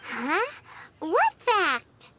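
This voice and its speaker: A woman voicing a tiny, excitable childlike fairy companion in a video game, squeaky and perky, with music playing behind her. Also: drawn-out words, heavily acted. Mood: puzzled. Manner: perky, exclaiming, expressive. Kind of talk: video game cartoon acting.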